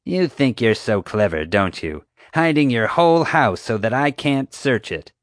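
A man's voice: mid-range voice, big pitch swings, measured pace, dry recording, clean studio sound, voice pushed up. A man voicing a rude, pompous, dim-witted woman security officer in an audiobook, with an officious, accusatory way of talking. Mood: scornful. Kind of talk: audiobook character voice.

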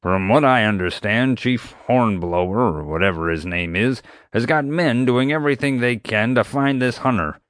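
A man's voice: medium pitch, animated, normal speed, hardly any pauses, a bit bright, no echo, clean studio sound, voice pushed up, lightly acted. A man voicing a crotchety, prickly male animal-park vet who growls his retorts.

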